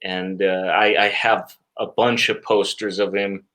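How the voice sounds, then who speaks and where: A middle-aged man speaking conversationally as an interview guest, in a halting way with repeated words and frequent filler phrases. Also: fairly low pitch, flat delivery, pushed and bright. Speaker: interview guest, stage magician.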